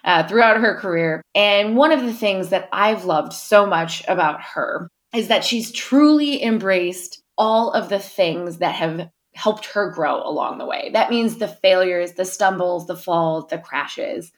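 A woman's voice: lowish voice, a little slow, few pauses. A woman hosting a podcast, giving an upbeat, chatty introduction and interviewing a guest, with many small fillers. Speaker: podcast host. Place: my town.

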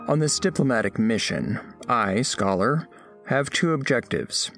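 A man's voice: mid-range voice, animated, unhurried, fairly bright tone, faint music behind. A man playing a studious alien scholar who narrates a diplomatic report with formal, precise diction in an audio drama. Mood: formal, measured. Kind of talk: scripted first-person narration, audio fiction.

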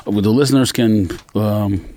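A man co-hosting a sports and sneaker podcast, casually debating in blunt, slangy talk and quick to contradict. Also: lowish voice, warm tone, slight background noise.

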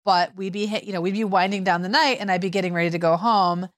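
A woman's voice: fairly low pitch, fairly even intonation, quick pace, no echo, studio quality. A middle-aged woman co-hosting a parenting podcast, telling stories in a chatty way with many fillers and restarts. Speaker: podcast co-host. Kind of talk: casual conversational chat.

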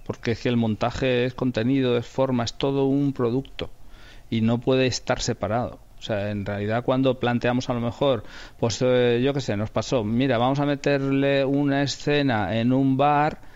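A dull, lo-fi interview recording of a man with a Castilian Spanish accent. He has a medium-pitched voice and speaks conversationally. His delivery is emphatic, working through ideas aloud and restating them as he goes. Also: fairly even intonation, moderate pace, a little mellow. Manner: emphatic, thinks aloud, repeats himself. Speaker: radio drama director and producer.

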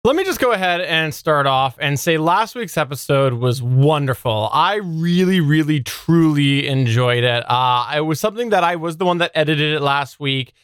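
Slightly high-voiced man, dry podcast sound. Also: lively intonation, moderate pace, hardly any pauses, a bit bright, smooth, no room sound. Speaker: podcast co-host. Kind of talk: casual podcast talk.

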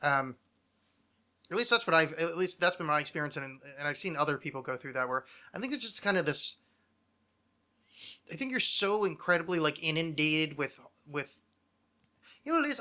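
A man's voice: a little high, bright, forceful tone. A man in his thirties chatting casually and ramblingly as a podcast host, with a wry, mock-dramatic, self-deprecating manner.

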